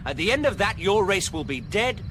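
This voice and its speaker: Scornful, high-pitched space traveller. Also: male voice, big pitch swings, fairly quick, noisy background. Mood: scornful haranguing. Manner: emphatic, sarcastic, haranguing. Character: scornful, impatient galactic traveller berating colonists. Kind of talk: sci-fi comedy radio drama acting.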